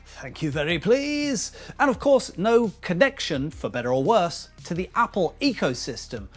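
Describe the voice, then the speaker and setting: A middle-aged man narrating a scripted vlog as host, chatty and self-deprecating, with wry asides. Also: sits high. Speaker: YouTube host.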